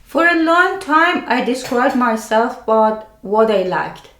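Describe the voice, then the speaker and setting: A woman with a non-native English accent gives scripted self-help advice as a video host, speaking deliberately in short phrases with pauses. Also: on the high side, sing-song, fairly bright tone. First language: a language other than English. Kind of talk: scripted personal explainer to camera. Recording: roomy, echoing sound.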